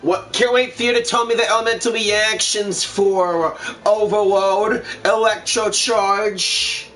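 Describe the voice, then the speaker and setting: A man hosting a casual let's play, excitable and reacting out loud as he goes. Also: high voice, takes it slowly. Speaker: gaming video host.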